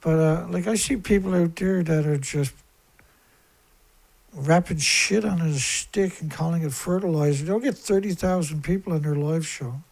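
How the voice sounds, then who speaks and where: Guy w a squeaky kinda high voice doing a rambly livestream monologue, blunt n fed up, repeats himself for emphasis. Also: normal speed, gravelly, darkish tone, low-quality recording. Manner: aggrieved, emphatic, repetitive, blunt. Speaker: live stream host, environmental activist. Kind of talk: rambling live-stream monologue on a serious topic.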